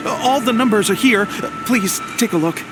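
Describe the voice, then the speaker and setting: An earnest, dutiful publishing house clerk from a video game, acted by a woman. Her voice sits a little high, and she reports in a formal, businesslike way. Game music plays clearly behind her. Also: moderate pace, natural voice. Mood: urgent.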